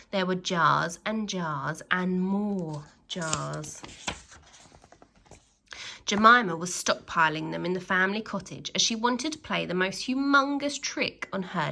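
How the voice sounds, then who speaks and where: A woman reading a children's storybook aloud like a teacher, with animated, dramatic emphasis and little asides to her young listeners. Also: medium pitch, gravelly. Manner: expressive, with dramatic emphasis and exclamations; pauses to address young listeners with questions.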